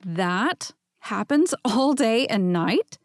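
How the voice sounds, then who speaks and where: A woman voicing a blunt, gossipy young woman who speaks slowly. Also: fairly high pitch, expressive, dry recording, clean studio sound.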